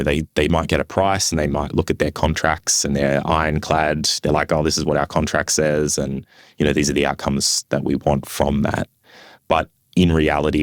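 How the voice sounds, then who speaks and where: A man giving conversational interview answers as a podcast guest, explaining things in a measured, tentative way. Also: very deep voice, no room sound, studio quality. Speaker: podcast guest, construction professional.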